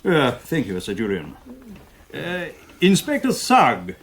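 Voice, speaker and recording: A man's voice: medium pitch, wildly swooping pitch, slow, fairly bright tone, heavily acted. A British man playing a formal, methodical coroner in a radio drama, with slightly hesitant questioning. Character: official male coroner presiding over inquest, courteous, methodical.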